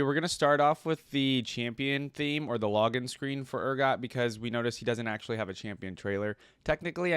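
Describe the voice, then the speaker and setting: A man giving casual reaction commentary, thinking aloud in an animated, exclamatory way. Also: lowish voice, clean tone, no echo. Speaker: reaction video host. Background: music.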